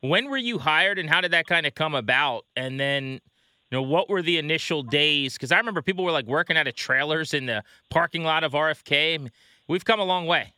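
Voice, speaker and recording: A man's voice: on the high side, animated, a little fast, sharp tone, clear, resonant tone, dry recording. A man hosting a sports show, interviewing in a casual, conversational style.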